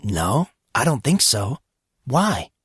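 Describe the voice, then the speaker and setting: A man's voice: mid-range voice, very animated, takes it slowly, fairly bright tone, slightly rough, no echo, full character voice. A man acts a teenage boy in a fantasy audio drama. He is defensive and sarcastic, brooding underneath, recorded very dry and close.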